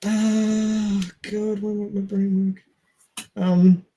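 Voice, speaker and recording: A man with a notably high voice and an Australian accent. He chats casually as a podcast co-host, joking and poking fun at himself. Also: flat delivery, very slow, warm tone, a little hiss or hum. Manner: jokey, self-deprecating. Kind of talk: casual chat.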